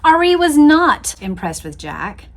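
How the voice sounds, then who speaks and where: High-voiced woman reading a book aloud. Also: sing-song, measured pace. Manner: engaged, addresses listeners directly. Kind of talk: expressive read-aloud with casual asides.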